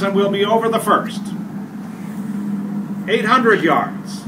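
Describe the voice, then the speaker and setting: Man playing a urgent military radioman, high-pitched, noisy. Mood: frantic. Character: tense military pilot and radio operator in battle. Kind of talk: live radio play reading. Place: hotel meeting room, panel table. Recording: distant camera mic, loud room noise.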